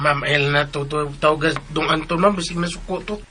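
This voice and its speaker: An actor with a southern Philippine accent playing a chatty, meddling household helper who stammers and repeats himself in a radio drama. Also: male voice, fairly high pitch, steady tone, normal speed, lightly acted. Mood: coaxing.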